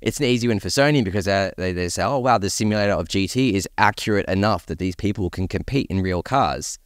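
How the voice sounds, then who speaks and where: Male podcast panelist, low smooth voice, dry close sound, conversational and enthusiastic. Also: quick pace, no echo. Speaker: podcast panelist discussing films and games. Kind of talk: casual panel chat.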